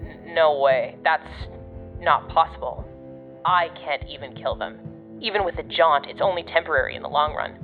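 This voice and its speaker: Tough blunt guide, low voice, phone-like radio filter, incredulous audio drama delivery. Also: female voice, moderate pace, faint music behind. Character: tough, foul-mouthed woman guide over a watch radio. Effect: radio communicator filter. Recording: tinny phone-like radio link.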